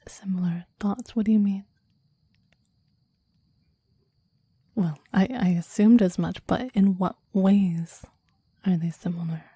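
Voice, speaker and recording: A woman acts out a devoted, clingy demon lover in an ASMR romance. The voice is very dark and soft, mostly a breathy whisper, unhurried and very dry at the mic. The delivery is intimate and yearning, with formal, reverent phrasing. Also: medium pitch, no echo. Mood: hopeful, surprised. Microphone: close microphone, dry.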